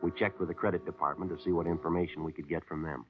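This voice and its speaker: An actor playing a terse police sergeant who narrates the case in a clipped, flat, matter-of-fact way, in a 1950s radio drama. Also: male voice, deep voice, racing, music in the background, close to an everyday voice. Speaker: detective narrator. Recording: tinny lo-fi 1950s radio broadcast.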